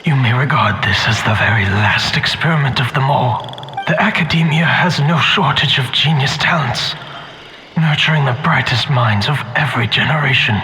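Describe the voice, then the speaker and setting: An actor playing a grave, despairing male scholar in a game, speaking in a formal, measured, grandiloquent way. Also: mid-range voice, bright, forceful tone, slight background noise, lightly acted, rough recording. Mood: solemn, resolute. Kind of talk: dramatic game voice acting. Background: faint background music.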